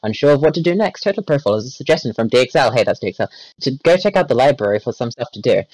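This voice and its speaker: A man's voice: medium pitch, expressive, rapid-fire, a little mellow, no echo, low-quality recording. A man reading a wiki page aloud in a group voice chat, stumbling and correcting himself as he goes. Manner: reads aloud with stumbles, self-corrections and laughs.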